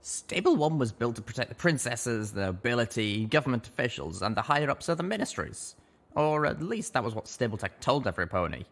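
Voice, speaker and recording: A man's voice: mid-range voice, sing-song, fairly quick, fairly bright tone, voice pushed up. A man voicing a jaded, sardonic century-old ghoul pony in a colt's body, rambling wearily in a storybook reading. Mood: jaded explaining.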